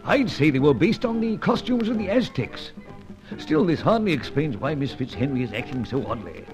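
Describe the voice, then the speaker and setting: A man playing a stuffy, excitable older British knight in a pulp radio serial, blustering and stammering in upper-class old-chap phrases. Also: a little high, normal speed, music in the background, poor audio. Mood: astonished, blustering.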